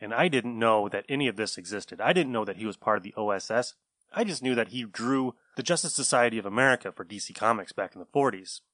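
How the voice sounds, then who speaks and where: A man's voice: on the low side, fast talker, fairly bright tone, no room sound. A man hosting a podcast, talking casually and offhandedly to his listeners. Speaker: podcast host. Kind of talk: casual chat.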